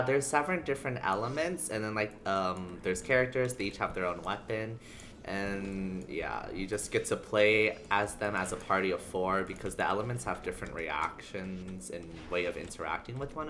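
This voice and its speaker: Low-voiced streamer, gender unclear, chatting over noise. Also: moderate pace, fairly bright tone. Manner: rambling, chatty, talking to viewers. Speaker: livestreamer. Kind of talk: casual livestream chat while playing a game. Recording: background noise under the voice. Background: video game sounds.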